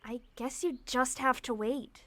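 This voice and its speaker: A woman plays a loyal, quick-witted teenage girl in a period audio drama. Her voice is high and expressive, with an earnest, caring manner, heard very dry. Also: no echo. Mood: gently cautioning.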